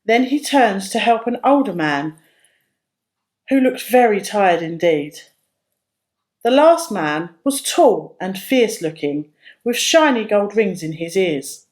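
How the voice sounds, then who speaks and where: Slow, very expressive woman storyteller. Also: medium pitch. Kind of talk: expressive storybook reading for children.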